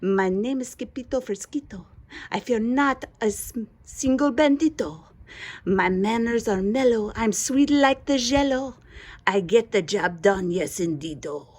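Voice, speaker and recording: A woman's voice: fairly high pitch, very animated, slow, fairly bright tone, heavily acted. A woman voicing a bouncy, boastful little boy kitten who plays at being a Chihuahua hero, with exclamations and singsong rhymes in a storybook reading. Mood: soft singsong.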